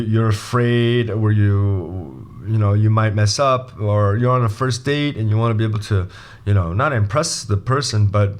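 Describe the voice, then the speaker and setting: Energetic male coach from brazil, casual jokey lesson, stumbles and restarts a lot. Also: lowish voice. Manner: animated, restarts sentences, jokey asides. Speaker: MBA admissions coach.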